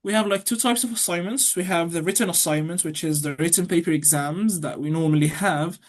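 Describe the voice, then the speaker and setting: A man's voice: a little high, brisk. A young man from Egypt, an Arabic speaker, talking eagerly and at length as a student guest on a video call. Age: young adult. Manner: chatty, enthusiastic, repeats words when excited. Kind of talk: casual interview chat.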